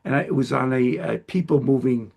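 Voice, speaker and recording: Gravelly, husky older man hosting an interview. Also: mid-range voice, a little mellow. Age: 70s. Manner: rambling, halting, self-deprecating. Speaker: host interviewer. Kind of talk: casual conversational interview.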